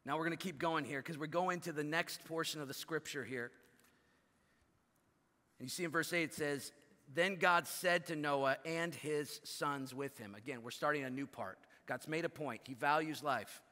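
A pastor in his thirties preaches from a big church stage, pushing his voice up and pulling it down hard to stress a point. His tone is bright and a little high for a man, and the hall answers with a long tail of reverb. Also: normal speed. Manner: animated preaching, voice rising and dropping for emphasis. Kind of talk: sermon.

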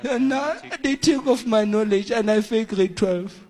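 A young man, answering a pastor in halting, pleading speech at a church service. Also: high-pitched, a little mellow. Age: young adult. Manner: halting, pleading, broken English. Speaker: congregant receiving deliverance. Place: church service before an audience.

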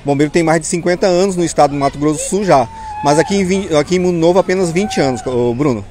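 A man in his forties with a Brazilian accent, a firefighter officer answering an interviewer haltingly, repeating words as he goes. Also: a little high, darkish tone. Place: outdoors in front of a fire station. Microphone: small mic held at chest level by interviewer. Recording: outdoor street ambience noise.

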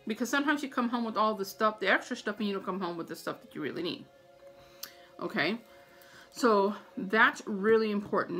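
A woman's voice: mid-range voice, sharp tone. A middle-aged American woman giving casual, chatty advice to camera in an informal way, repeating her points for emphasis. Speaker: vlogger giving household tips. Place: small room at home, indoors. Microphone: phone or tablet camera at about arm's length.

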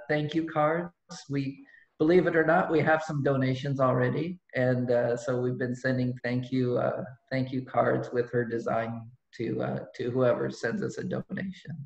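A man's voice: mid-range voice, creaky. A middle-aged man hosting a volunteer meeting over a video call, friendly and often restarting his words. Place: living room at home. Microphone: laptop mic on a video call. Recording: roomy, dull video call audio.

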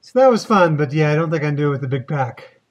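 A man's voice: a little high, animated, quick pace, no room sound. A middle-aged man chatting casually to a handheld camera outdoors as a hiking vlogger, in a jokey, exclamatory way. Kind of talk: casual outdoor vlog chat. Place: outdoors in sandstone river canyons. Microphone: handheld action camera at arm's length.

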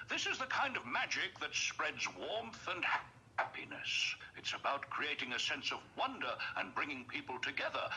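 A man's voice: mid-range voice, bright, forceful tone, rough recording. A man voicing a jolly, kindly old Santa Claus in a phone chat, exuberant and courteous, explaining things in a scholarly way. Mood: warm, reassuring. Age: older. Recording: phone speaker playback, some background noise.

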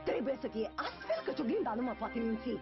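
A woman voicing a vain, haughty, scheming queen in an animated fairy tale, with a theatrical, imperious manner. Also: on the high side. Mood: outraged, scheming. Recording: muffled cartoon soundtrack with loud music.

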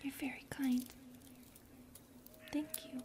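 A woman voicing a shy, lonely, gentle young forest spirit in soft, hesitant whispers, as ASMR roleplay. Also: high-pitched, little pitch movement, slow pace, noisy background. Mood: touched, hesitant.

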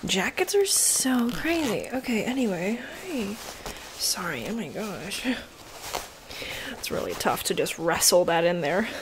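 A young adult woman doing a casual ASMR role play, speaking softly and often slipping into a whisper with mouth sounds, close to a desktop mic. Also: medium pitch, very animated, unhurried. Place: bedroom, indoors. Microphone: close desktop condenser mic. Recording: close mic with noise, clicks.

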